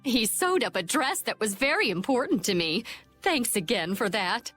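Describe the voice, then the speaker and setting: Casting: friendly game townswoman, highish, animated voice. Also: normal speed, faint music behind. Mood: grateful. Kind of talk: video game voice acting.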